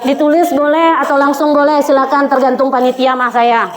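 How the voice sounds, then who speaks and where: A woman's voice: high-pitched, flat delivery, brisk, crowd noise. A woman in her forties preaching to a women's congregation in a conversational way, often addressing her listeners directly. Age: 40s. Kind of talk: sermon to a women's congregation, with recited Arabic quotations. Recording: echoey room, faint background noise.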